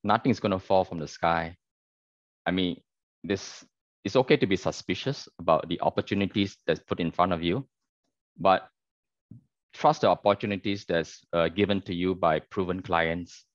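A man's voice: sits low, moderate pace, dry recording. A middle-aged man, giving informal advice on a video call panel. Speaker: architect, panel speaker. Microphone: video call microphone. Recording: dull, muffled video call audio.

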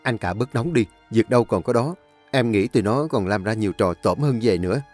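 A man's voice: lowish voice, brisk, a little mellow, soft background music, close to an everyday voice. A man voicing a stern young master of a rich house who barks orders at servants. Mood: calming. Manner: barking orders.

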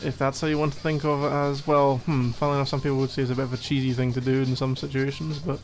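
A man's voice: medium pitch, level tone, fast, faint music behind, close to an everyday voice. A man playing a needy, playfully exasperated gamer boyfriend in a casual, intimate ASMR roleplay, teasing as he talks. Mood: teasing, resigned. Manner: teasing, mock-exasperated, conversational.

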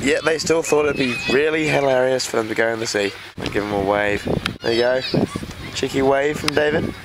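Young guy behind the camera, dry teasing commentary, loud wind noise outside. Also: medium pitch, fairly quick. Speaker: friend filming. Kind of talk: casual narration behind camera. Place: windy outdoor beach. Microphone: handheld camera.

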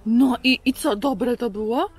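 A woman's voice: a little high, a little slow, slightly rough. A woman voicing a curious, easily worried boy puppet firefighter who asks short, eager questions in a children's show.

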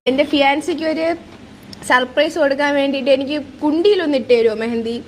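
A woman with a Kerala accent speaks in a high voice, posing as a customer in a prank call. The replayed clip has faint noise behind her. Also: fast, a little mellow. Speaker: prank caller.

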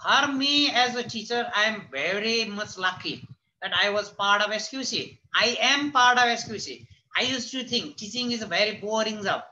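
A man in his thirties with a Nepali accent moderates an online panel as a teacher, speaking haltingly and repeating phrases for emphasis. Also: high-pitched, sharp tone, muffled recording. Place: indoors, plain-walled room. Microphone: computer mic on a video call. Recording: noisy video-call audio with clicks.

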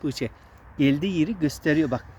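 A woman's voice: sits low, quick pace, a little mellow, dull audio, a little hiss or hum. A woman hosting a casual outdoor vlog, explaining nature to children in an instructive way and often repeating her words. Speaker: vlog host guiding children. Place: outdoors in a wooded area.

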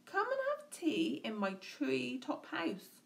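A woman's voice: high-pitched, wildly swooping pitch, slow pace, creaky, pitched above the performer's own voice, exaggerated voice. A woman voicing a coaxing, easily frightened owl in a children's storybook, with a hooting, swooping delivery.

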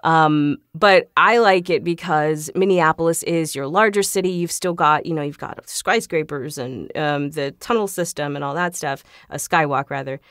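A woman's voice: low voice, moderate pace, hardly any pauses, fairly bright tone, no room sound. Chatty woman in a very dry studio. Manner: chatty, laughs often. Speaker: guest, voice actor. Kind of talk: casual interview chat. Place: closet home studio, dry sound. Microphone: shotgun studio microphone.

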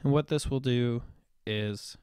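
A young man explaining software setup step by step in a screen-recorded tutorial voice-over, with the odd "um". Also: medium pitch, takes it slowly, clean tone, dry recording, clean studio sound. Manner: explanatory, step-by-step, with occasional fillers. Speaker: tutorial presenter.

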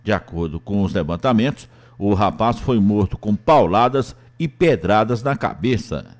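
A Brazilian man with a Brazilian Portuguese accent, reading a police news bulletin in a formal, measured way. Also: fairly low pitch, animated, a little mellow. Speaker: reporter and announcer.

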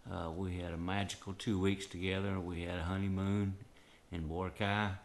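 An older man with a Southern drawl speaks in a deep voice. He tells his story to the camera from his living room, earnest and rambling, with plenty of "and, uh" fillers. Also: level tone, moderate pace. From Southern United States. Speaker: vlogger.

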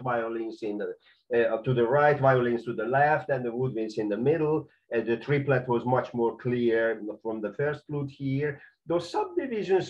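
A man's voice: mid-range voice, a little mellow, lo-fi sound. A man with a Hungarian accent who teaches conducting in a video lesson, talking through excerpts with careful, non-native phrasing and many fillers. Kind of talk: spoken video lesson with commentary.

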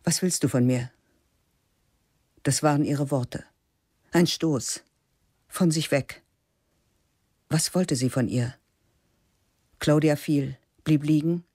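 Slow, husky woman narrating. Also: deep voice, dark tone, no room sound, clean studio sound. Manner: slow, measured, spare delivery, often dropping to a whisper. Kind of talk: scripted literary narration for a radio play.

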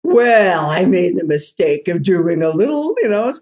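Lively woman telling stories, low-pitched. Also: measured pace. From New Jersey. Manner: acts out other people's voices with big jumps in pitch. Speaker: podcast guest, drum therapist. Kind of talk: animated storytelling in conversation.

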